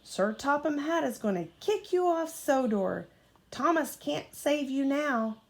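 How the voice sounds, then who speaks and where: Storybook villain: a menacing, accusing male diesel engine, pitched up and loud, played by a woman reader. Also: animated, unhurried. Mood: taunting threat.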